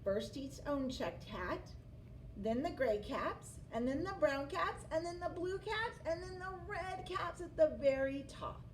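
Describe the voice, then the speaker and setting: A woman reading a picture book aloud to children as an expressive storyteller who engages her listeners. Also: high voice. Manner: expressive, pauses to ask listeners questions. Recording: distant mic, faint background noise.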